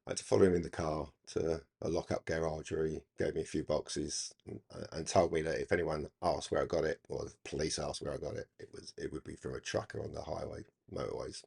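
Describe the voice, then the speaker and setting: An older man reminiscing casually in a halting, rambling, reflective way. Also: rumbling low, steady tone, vocal fry, darkish tone, slightly rough. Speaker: hobby radio channel presenter.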